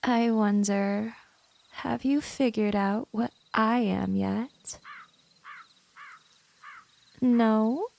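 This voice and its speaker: A woman's voice: a little high, steady tone, a little mellow, dry recording, exaggerated voice. A woman playing a teasing, domineering vampire in an ASMR roleplay, talking straight to the listener in a condescending, seductive way. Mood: amused, smug. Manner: slow, condescending, seductive, breathy drops into whisper. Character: smooth, teasing, domineering female vampire, gently predatory.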